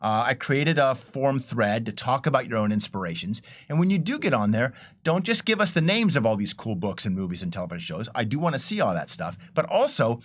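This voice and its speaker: A man's voice: medium pitch, big pitch swings, a little fast, hardly any pauses, fairly bright tone. A man on a casual panel, talking informally and enthusiastically as a game designer.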